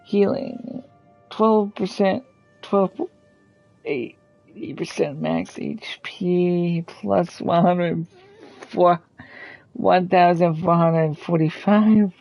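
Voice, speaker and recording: Hesitant, slightly creaky gamer thinking aloud. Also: mid-range voice, very slow, pauses often, a little mellow, faint music behind, low-quality recording. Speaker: gaming streamer. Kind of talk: casual gameplay commentary, thinking aloud.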